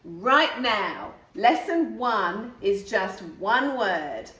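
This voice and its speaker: A woman's voice: fairly high pitch, expressive, drawn-out words, a bit bright, full character voice. Woman acting a commanding military brigadier, echoey room. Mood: stern. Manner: commanding, clipped orders. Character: stern military brigadier, male dog trainer, barking orders. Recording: roomy echo, distant mic.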